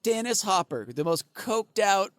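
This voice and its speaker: A man's voice: high voice, very animated, measured pace, no echo, clean studio sound. A man co-hosting a podcast in casual chat, rambling and swearing, laughing often and full of fillers. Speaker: podcast co-host.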